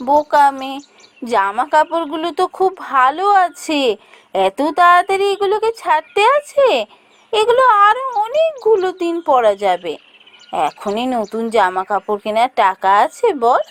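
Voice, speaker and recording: A woman plays a practical village mother in a fairy-tale cartoon. Her voice is high and swings up and down with every phrase. She sounds fretful, expressive and exclamatory. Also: normal speed. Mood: scolding.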